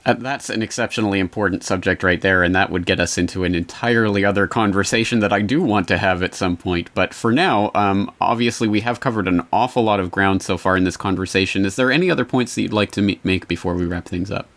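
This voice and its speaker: Host: man, low voice, quick and brisk delivery. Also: fairly bright tone. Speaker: interview host. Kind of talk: interview conversation.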